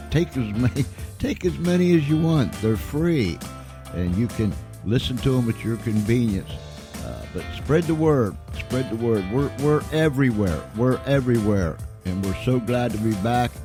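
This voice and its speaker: A man hosting an informal live radio show, speaking to listeners in a folksy, effusive way and repeating phrases for emphasis. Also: medium pitch. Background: music.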